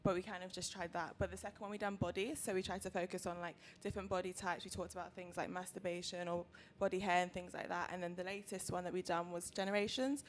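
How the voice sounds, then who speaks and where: A young woman, a student, speaks on a panel about the magazine she runs. She talks fast and loosely, leaning on like and kind of. A faint hiss of room noise sits behind her. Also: on the low side, little pitch movement. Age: young adult. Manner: quick, casual, lots of likes and kind ofs. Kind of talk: panel discussion.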